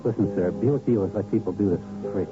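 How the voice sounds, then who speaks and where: Brisk American advert interviewer played by an actor. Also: male voice, lowish voice, music in the background, natural voice. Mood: polite, bemused. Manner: brisk, straight-man announcer delivery. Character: polite, bemused roving advert interviewer. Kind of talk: 1970s radio commercial interview. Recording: muffled old radio advert.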